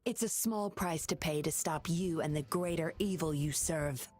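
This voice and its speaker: An actress playing a mysterious former patroller in a video game, composed, firm and solemn. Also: female voice, sits low, moderate pace, a little mellow, soft background music. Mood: defiant, calm. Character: mysterious, resolute former patroller woman, cool and secretive. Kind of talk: dramatic video game voice acting.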